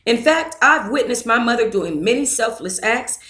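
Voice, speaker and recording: A woman's voice: on the high side, lively intonation, normal speed, fairly bright tone. A woman hosting a book club, reading a book aloud on a live stream and chatting casually with her viewers between passages. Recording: echoey room.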